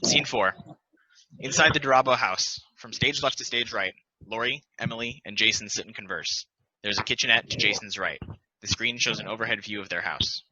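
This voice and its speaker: Bright-voiced man reading stage directions. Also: lowish voice, brisk, breathy edge, no room sound, poor audio.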